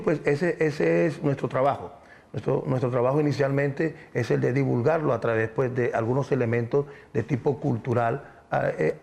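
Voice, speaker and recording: A man speaking as a community spokesman in an interview, explaining in a halting way and often repeating words. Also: medium pitch, creaky, mellow.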